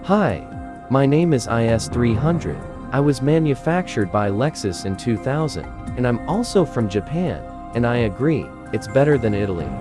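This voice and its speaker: A man's voice: mid-range voice, lively intonation, normal speed, over music, lightly acted. A man voicing a cheeky, taunting sedan car as a quiz contestant. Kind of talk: scripted game show parody.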